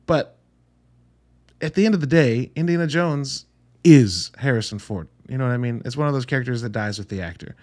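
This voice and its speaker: A man's voice: medium pitch, fairly quick, a little mellow. A man hosting a casual solo review podcast, talking informally and earnestly, often interrupting himself and rambling with emphasis. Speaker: podcast host reviewing films.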